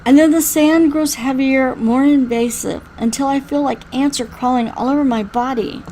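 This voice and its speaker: A woman reading a first-person story aloud in an expressive, dramatic way. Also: high-pitched, normal speed, a little hiss or hum, low-quality recording. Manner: expressive, acts out the dialogue. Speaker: reader playing through a visual novel.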